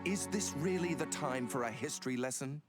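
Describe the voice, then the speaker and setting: A man's voice: medium pitch, brisk, loud music behind the voice, lightly acted. An actor playing a loyal, dutiful male elf captain who speaks earnestly and resolutely. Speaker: elf captain and border warden. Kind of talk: video game cutscene acting.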